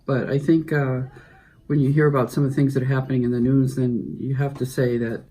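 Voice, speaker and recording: A middle-aged man giving thoughtful, measured answers in an interview. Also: medium pitch, flat delivery, warm tone, husky, rough recording.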